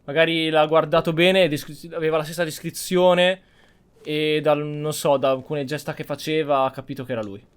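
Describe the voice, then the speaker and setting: A man's voice: on the high side, flat delivery, a little fast, clear, resonant tone. A man giving casual gameplay commentary with some roleplay, reasoning aloud as he goes. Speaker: gaming streamer.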